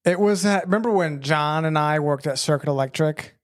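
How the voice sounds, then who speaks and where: A man's voice: a little high, fairly quick. An American man chatting casually and reminiscing with a friend. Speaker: conversation partner on a podcast.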